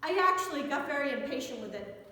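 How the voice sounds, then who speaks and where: A woman with an American accent and a high-pitched voice delivers a sermon. She talks to listeners conversationally, leaning on questions and emphatic pauses. The sound is heavily echoing, with the long reverb of a church interior. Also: animated, sharp tone. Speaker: Episcopal priest preaching.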